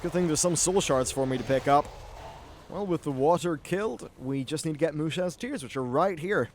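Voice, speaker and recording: A man's voice: a little high, normal speed, slight background noise. A man giving casual, informal let's-play commentary on an online fantasy game, mildly sweary as he reacts to what unfolds. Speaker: gaming YouTuber.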